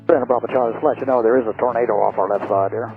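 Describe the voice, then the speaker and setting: A man's voice: medium pitch, fairly even intonation, rapid-fire. A man speaking as a pilot, making reports and readbacks over aviation radio. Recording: dull aviation radio with faint music.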